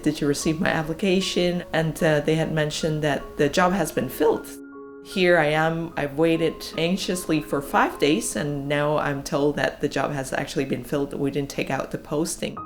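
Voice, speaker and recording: Woman from Malaysia, deep register, documentary interviewee recounting her experience over light music. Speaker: job seeker interviewee. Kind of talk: documentary interview, personal account. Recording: music playing behind the voice.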